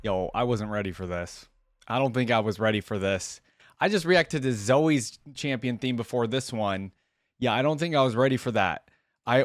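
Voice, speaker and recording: A man's voice: medium pitch, no room sound, clean studio sound. A man hosting a reaction video, thinking aloud in an informal, exclamatory way as he comments. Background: game trailer and theme music.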